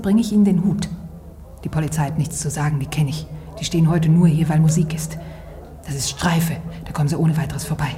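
An actress plays a young woman from a tavern in a radio drama. Her voice sits a little low. She speaks curtly and urgently, practical and unflustered, like someone in charge. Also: warm tone, a little hiss or hum, close to an everyday voice. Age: young adult. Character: brisk, cool-headed young innkeeper's daughter helping a fugitive. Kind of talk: German radio drama acting.

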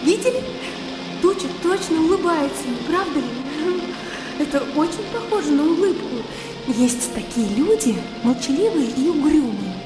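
A woman acting a spirited, blunt young country gentlewoman in a radio drama, lively, impulsive and mocking. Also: high voice, normal speed. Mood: excited. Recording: echoey studio reverb, music underneath.

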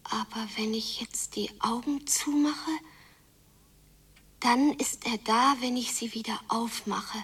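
A woman playing a yearning, stubborn young girl in a radio drama, speaking breathily in an intense, halting, hushed way. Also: on the high side, breathy edge, far from the mic, heavily acted. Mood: hushed, expectant. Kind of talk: radio drama inner monologue, often whispered.

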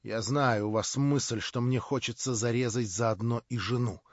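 A man's voice: mid-range voice, fairly even intonation, moderate pace, dry recording, voice pushed down, natural voice. A man voicing a cold, proud young nobleman who speaks curtly and with contempt. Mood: scornful. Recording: dull lo-fi dry recording.

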